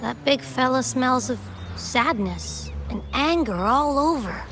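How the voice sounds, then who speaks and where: A woman's voice: high voice, lively intonation, slow, fairly bright tone, exaggerated voice. A woman voicing a small, round, talking creature companion in a video game, feisty, sassy and childlike. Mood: concerned. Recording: music under the voice.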